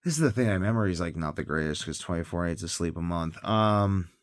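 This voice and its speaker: A man's voice: low-pitched, fast talker, no echo, clean studio sound. A tired man talking in a casual, rambling monologue as a health vlogger, hesitant and thinking aloud, often trailing off.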